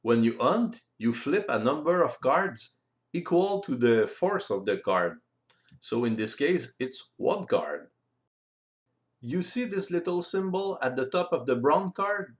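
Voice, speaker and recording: Man with very lively intonation. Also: fairly high pitch. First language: French. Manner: step-by-step, deliberate, simple phrasing. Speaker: board game rules presenter. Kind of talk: instructional board game explanation. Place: voice-over recorded separately from the video.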